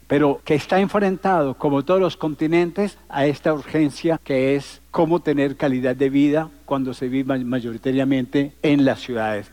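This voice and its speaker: A man speaks as a conference lecturer. His voice sits at a medium pitch. He addresses his listeners rhetorically, stressing points through repeated phrases and lists. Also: darkish tone. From Colombia. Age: 60s. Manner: emphatic, rhetorical, with repetitions and listing. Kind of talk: public lecture to a live audience.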